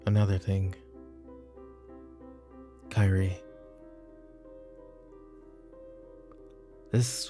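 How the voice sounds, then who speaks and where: Guy doing a tired mob boss dad for asmr roleplay, super deep n gravelly, broody, halting. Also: dark tone, exaggerated voice. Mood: guarded, probing. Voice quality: gravelly, low. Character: weary, brooding male mafia boss and protective father. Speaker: voice actor. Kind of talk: roleplay ASMR audio drama.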